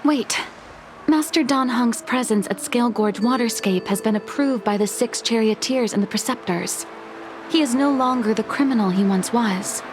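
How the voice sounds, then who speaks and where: A woman's voice: a little high, normal speed, background noise, natural voice. A woman playing a composed, respectful attendant in a video game, speaking formally and politely. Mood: firm. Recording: game music behind the voice.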